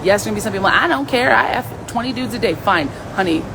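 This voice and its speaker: A woman with a raspy voice hosting a live stream, chatting casually and reading viewer comments in a blunt, outspoken, playful way. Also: mid-range voice, lively intonation, fast talker, background noise.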